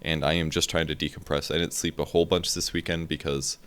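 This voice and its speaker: Young man, very deep voice, fast talker, tired, rambling casual vlog, desk mic. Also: clean tone. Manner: rambling, relaxed, filler-heavy. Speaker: gaming video creator.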